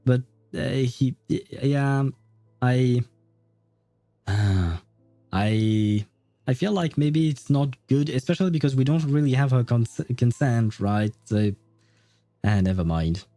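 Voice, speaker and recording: A man's voice: medium pitch, takes it slowly, warm tone, no echo. A man chatting casually and a bit hesitantly with viewers as he hosts a gaming livestream, often rambling. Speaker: livestream host. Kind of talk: casual chat while streaming a game.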